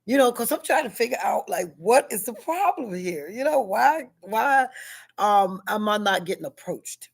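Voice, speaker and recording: A woman in her sixties talks in a loose, rambling vlog style, asking herself questions and stacking fillers as she goes. Her voice is deep and heavy with a husky, breathy edge, sitting at a middling pitch for a woman. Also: expressive, moderate pace. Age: 60s. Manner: chatty, rambling, frequent fillers and self-questions. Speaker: vlogger. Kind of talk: casual personal vlog. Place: at school.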